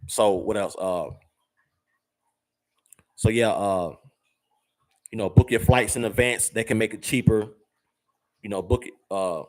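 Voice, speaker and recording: Man, low voice, slangy, rambling, lots of fillers, talking to viewers. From United States. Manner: rambling and conversational, with many fillers and repeated words; uses slang, addresses viewers as fam and y'all. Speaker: travel vlogger. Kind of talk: casual advice monologue to viewers.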